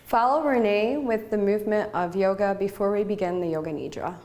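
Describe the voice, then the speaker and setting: A woman yoga instructor leading a guided relaxation in short, measured, soothing phrases with pauses between them. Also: mid-range voice, darkish tone.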